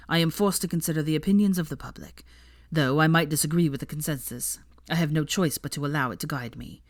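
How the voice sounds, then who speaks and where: Woman narrator reading fanfic, low voice, calm and measured, dry close mic. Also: no room sound. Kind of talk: audiobook-style fanfiction reading.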